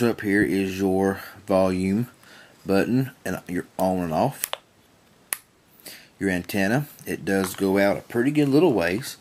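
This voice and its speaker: A man's voice: low voice, slight background noise. A man giving a casual product demonstration in a plain-spoken, folksy, rambling and repetitive way. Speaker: reviewer demonstrating a small weather radio.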